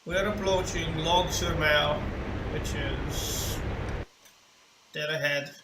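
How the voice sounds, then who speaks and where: A man's voice: on the high side, fairly even intonation, slow. A man whose first language is not English, casually commenting on a flight-sim game as he plays, thinking aloud and correcting himself. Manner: thinking aloud, unsure of names, self-correcting. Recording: roomy, distant mic, loud hum.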